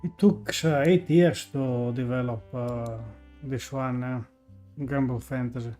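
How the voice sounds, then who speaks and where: A man's voice: medium pitch, fairly even intonation, unhurried, dark tone, faint music behind. A man giving casual live-stream commentary on games, hesitant and searching for words in non-native English. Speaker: game streamer. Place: indoors, live stream over game music.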